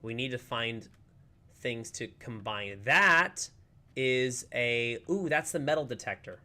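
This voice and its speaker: A man streaming a game in casual, rambling chat, slightly hoarse as he recovers from a lost voice. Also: medium pitch, lively intonation, slow pace, sharp tone. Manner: chatty, upbeat, rambling with many fillers. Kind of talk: casual livestream chat while playing.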